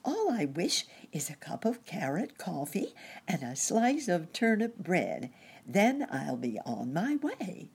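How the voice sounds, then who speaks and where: A woman voicing a jolly, carefree vagabond gentleman cricket in a storybook, chirping along cheerfully. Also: lowish voice, very animated, takes it slowly, creaky, slightly rough, voice pushed up, heavily acted.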